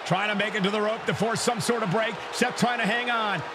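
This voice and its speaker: Urgent high-voiced man calling wrestling. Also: steady tone, a little fast. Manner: repeats calls and asks rhetorical questions. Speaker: play-by-play wrestling commentator. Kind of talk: urgent, dramatic sports commentary. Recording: very noisy arena crowd. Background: roaring crowd, slams.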